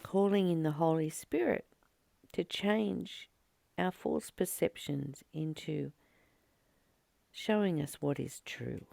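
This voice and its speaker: A woman reading a spiritual study text aloud and explaining it informally, in a halting, reflective way with pauses between short phrases. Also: sits low, gravelly, mellow, dry recording. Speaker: spiritual study reader and commentator. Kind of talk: slow read-aloud study with informal commentary.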